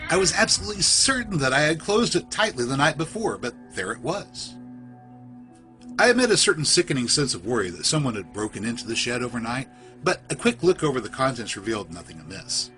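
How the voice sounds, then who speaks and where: A man narrates a creepy tale in a bright, clear-cut voice that rises and falls with a lot of expression. His delivery is literary and suspenseful, like a scripted horror podcast, with faint music behind him. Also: medium pitch, sing-song. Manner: literary, measured, suspenseful storytelling. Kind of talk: scripted first-person horror story narration.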